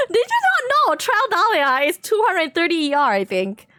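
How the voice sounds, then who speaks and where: A woman giving casual live commentary on a video game as a streamer, excitable and giggly, often repeating phrases rapidly. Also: extremely high pitch, no room sound, clean studio sound, soft background music.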